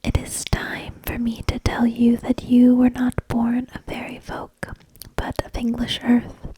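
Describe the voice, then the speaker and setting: A woman voicing a wise, good old male hermit in a storybook, in a breathy, solemn, measured whisper. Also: a little high, completely flat delivery, mellow, close to an everyday voice, rough recording. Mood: solemn, guiding.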